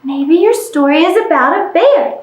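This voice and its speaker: Woman reading as a high, polite little mouse. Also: lively intonation, moderate pace, recorded from a distance, a little hiss or hum. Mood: friendly. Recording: echoey room.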